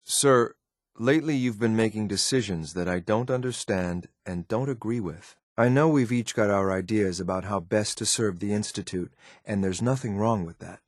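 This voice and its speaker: An actor playing a stern, loyal synth enforcer in a video game, speaking in a clipped, formal, deferential military manner. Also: male voice, on the low side, normal speed, dry recording, clean studio sound, lightly acted. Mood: stern, disappointed. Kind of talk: video game companion dialogue.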